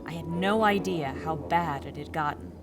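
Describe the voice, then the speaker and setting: A shaken woman giving radio drama testimony. Her voice is fairly low and grave, with prominent music behind her. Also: moderate pace. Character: shaken female survivor recalling devastation.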